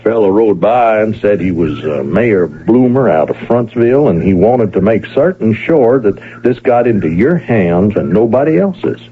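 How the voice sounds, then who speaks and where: An actor playing an easygoing, kindly frontier cowpuncher turned peddler in an old-time radio drama, with a folksy, coaxing Western American drawl. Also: male voice, on the low side, expressive, moderate pace, few pauses, a little hiss or hum, natural voice. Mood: folksy, casual. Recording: phone-like old radio broadcast with music.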